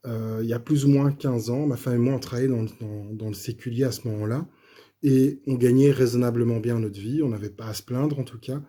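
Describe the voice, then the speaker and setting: Hoarse, mellow-voiced man giving testimony. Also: mid-range voice, fairly even intonation, fairly quick. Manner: hesitant, many fillers and restarts. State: slightly hoarse. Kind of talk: personal testimony, spoken casually.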